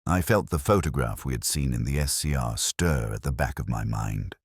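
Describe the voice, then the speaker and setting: A man narrating a scripted first-person detective story in a measured, solemn, literary manner. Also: extremely low pitch, vocal fry, slightly rough, dry recording, studio quality. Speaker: audio drama narrator.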